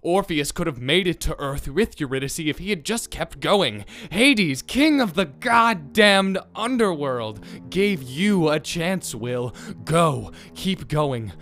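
A man playing an intense mythology professor in an audio drama, telling stories with deliberate, weighted phrasing. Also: on the high side, animated, fairly bright tone, exaggerated voice. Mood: intense, urgent. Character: mythology professor, grave storyteller turning frantic and obsessive. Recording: staged old classroom recording, music underneath.

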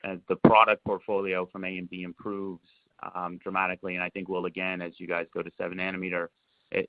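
A man with a low voice and little pitch movement asks questions as an analyst. He speaks haltingly, restarting phrases, over a tinny phone line. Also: no echo. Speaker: financial analyst asking questions. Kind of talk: unscripted earnings call question.

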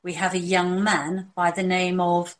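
A woman playing a calm, businesslike doctor who directs covert operations in a scripted audio drama, speaking in a serious, measured way. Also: on the low side, lightly acted.

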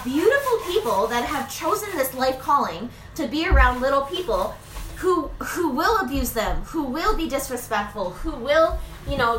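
High bright-voiced woman, rambling freely. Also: roomy sound, slight background noise, rough recording. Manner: rambling, many fillers and "right?" tags. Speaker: business owner. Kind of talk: casual reflective monologue.

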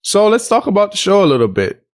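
Interviewer, easygoing friendly questions, middle pitch. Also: very animated, fast talker. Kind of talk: casual interview.

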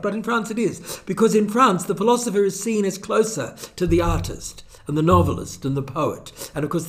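An older man, a guest philosopher holding forth in a wry, expansive academic conversation. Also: on the high side.

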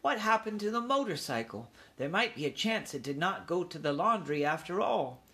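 A man's voice: high-pitched, sing-song, normal speed, pushed and bright, voice pushed up, heavily acted. A man voicing a young boy mouse in a storybook, eager and a little grand. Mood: bewildered, anxious.

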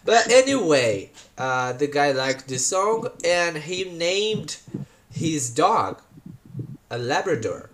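A man, co-hosting a casual podcast, talking in halting bursts full of fillers and restarts. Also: a little high, slight background noise. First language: Portuguese. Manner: halting, full of fillers and restarts, lively when telling a story. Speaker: podcast co-host. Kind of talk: casual chat, storytelling.

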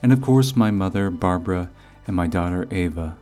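A man's voice: deep voice, moderate pace, a little mellow, faint music behind. A man reading scripted narration as the host of a podcast.

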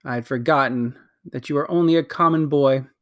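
An imperious queen, grand and merciless, as a man reads her. She speaks slowly and with great weight, as though nobody could ever refuse her. The voice sits at a middle pitch and is recorded very dry and close. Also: fairly bright tone, dry recording, close to an everyday voice.

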